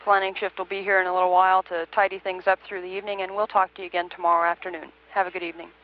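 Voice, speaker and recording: A woman's voice: on the low side, flat delivery, rapid-fire, a little hiss or hum. A woman working as a mission control communicator, talking procedurally but conversationally to a crew over the radio. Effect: radio transmission. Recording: dull band-limited space-to-ground radio link.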